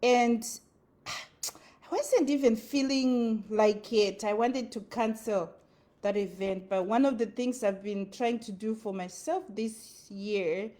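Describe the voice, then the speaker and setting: A woman's voice: on the high side, slow, dark tone. A woman with a Zimbabwean accent giving an earnest motivational talk, repeating her phrases for emphasis. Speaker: motivational speaker. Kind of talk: motivational monologue.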